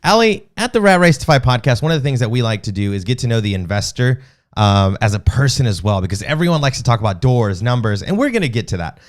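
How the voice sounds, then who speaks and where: A man's voice: mid-range voice, very animated, fast. A man hosting a casual podcast interview, upbeat and chatty. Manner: upbeat, chatty, reads sponsor spots. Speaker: podcast host.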